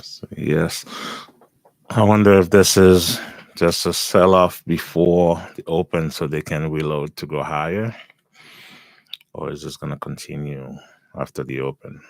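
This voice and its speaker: Very deep, gravelly hoarse man. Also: no echo. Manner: thinks aloud, calm and unhurried. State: raspy, hoarse voice. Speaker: day trader streaming live. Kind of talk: live trading commentary.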